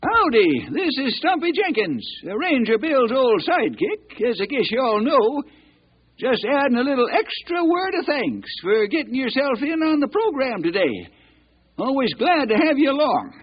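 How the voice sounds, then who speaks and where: An actor playing a folksy, exuberant old cowboy sidekick in an old-time radio western. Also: male voice, very high voice, slightly rough, full character voice. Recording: muffled old radio recording.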